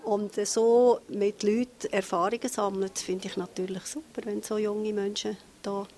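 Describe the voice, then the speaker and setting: A woman's voice: medium pitch, flat delivery, unhurried, warm tone. A woman with a Swiss German accent giving spontaneous interview answers as the director of a meeting place. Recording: interview with clear background noise.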